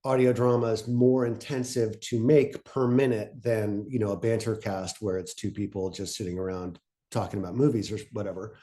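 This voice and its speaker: A man speaking informally as a panelist, thoughtful and halting, often breaking off to rephrase his point. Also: fairly low pitch, fairly even intonation, mellow. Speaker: panelist presenting on podcast pitching.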